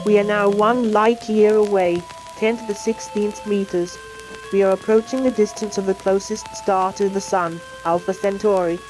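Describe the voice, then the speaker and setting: A woman's voice: medium pitch, fairly even intonation, moderate pace, low-quality recording. Synthetic female science film narrator over music. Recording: music playing under the voice.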